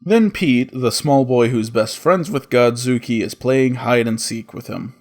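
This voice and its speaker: A man's voice: medium pitch, unhurried. A man narrating a scripted comedic cartoon review as the host, with a sarcastic, profane delivery.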